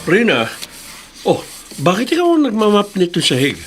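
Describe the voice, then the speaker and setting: A man's voice: high voice, wildly swooping pitch, moderate pace, soft background music. An actor playing a kindly, easygoing middle-aged male office boss in a radio drama, with a Filipino accent. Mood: puzzled, concerned. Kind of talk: Tagalog radio drama acting.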